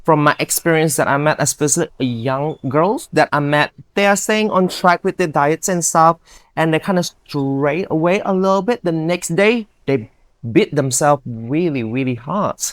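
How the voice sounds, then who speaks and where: A woman's voice: sits low, lively intonation, moderate pace, no room sound. Upbeat Indonesian-accented woman, dry sound. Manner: upbeat, earnest, non-native grammar. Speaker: guest, bodybuilder. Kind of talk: casual interview chat.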